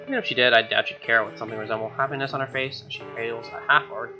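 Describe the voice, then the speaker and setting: A man's voice: medium pitch, fairly even intonation, racing. A man with an American accent reading a visual novel aloud as a gaming host, with casual asides. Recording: game music behind.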